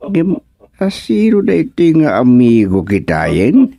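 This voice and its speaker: Man playing a slow-talking comic old Filipino man. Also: on the high side, huge pitch swings, creaky, warm tone, no echo, studio quality, heavily acted. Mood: musing. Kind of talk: comedic radio drama acting.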